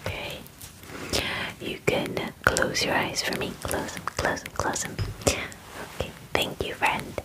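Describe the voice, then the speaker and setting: A woman in her twenties doing a whispered ASMR personal-attention roleplay, gentle, soothing and breathy, close to the microphone. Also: takes it slowly, low-quality recording. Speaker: ASMR roleplayer playing a werewolf spa groomer. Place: small indoor room. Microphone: close microphone, near the camera.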